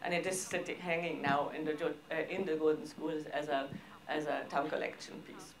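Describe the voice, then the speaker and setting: Halting woman presenter. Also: low-pitched, steady tone. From Austria. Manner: halting, informal, frequent hesitations and broken sentences. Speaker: arts organisation director presenting projects. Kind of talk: seminar presentation with slides.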